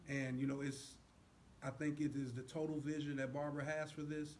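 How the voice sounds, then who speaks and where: A man's voice: on the high side, little pitch movement, a little fast, darkish tone. A man speaking earnestly and casually as an actor guest in an interview. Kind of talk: casual chat. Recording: faintly noisy room recording.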